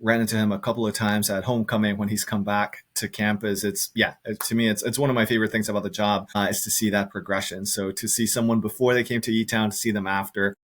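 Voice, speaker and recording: A man's voice: on the low side, level tone, fast talker, few pauses, fairly bright tone. A middle-aged man from Costa Rica answering reflectively as an interview guest, earnest and often repeating words as he thinks aloud. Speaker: podcast guest, engineering professor.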